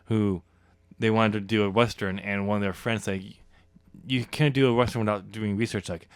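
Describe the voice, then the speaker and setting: A man's voice: on the low side, normal speed, clear, resonant tone, dry recording. A man hosting a podcast, chatting casually and laughing often about his own novel. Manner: laughs often, jokey and animated. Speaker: podcast host and author. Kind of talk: casual chat.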